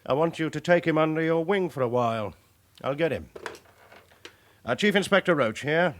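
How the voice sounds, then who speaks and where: A man acting a stern, brisk chief inspector in a British radio drama, authoritative and clipped in his delivery. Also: on the high side, expressive, lightly acted. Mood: brisk, commanding. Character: stern, brisk male police chief inspector, dryly commanding.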